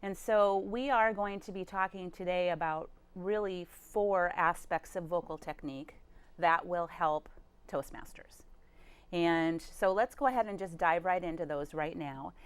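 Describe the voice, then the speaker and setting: Lower-voiced woman hosting a studio interview. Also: a little slow, clean tone. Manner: leading, affirming questions about voice. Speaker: TV show host.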